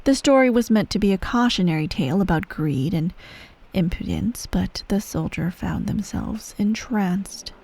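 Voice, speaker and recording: A woman playing a menacing, coolly doting empress mother in soft, breathy ASMR roleplay, measured and formal, often near a whisper. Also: medium pitch. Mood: hushed storytelling. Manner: measured, formal, veiled threats, breathy sighs.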